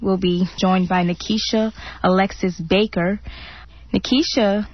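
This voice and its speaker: A woman's voice: medium pitch, fairly even intonation, measured pace, no room sound. A woman hosts a radio talk show in a chatty, friendly way and laughs easily. The studio sound is dry and slightly dull. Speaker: radio host.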